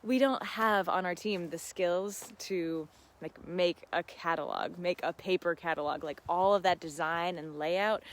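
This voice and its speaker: A woman hosting a casual live question-and-answer stream, talking to viewers in an effusive, gushing, giggly manner. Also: on the low side. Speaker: host of a live seed-farm Q and A. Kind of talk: casual livestream chat with viewers.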